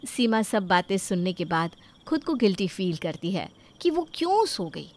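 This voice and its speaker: A woman's voice: medium pitch, very animated, moderate pace, darkish tone, a little hiss or hum. A woman telling a scripted moral story as a dramatic narrator.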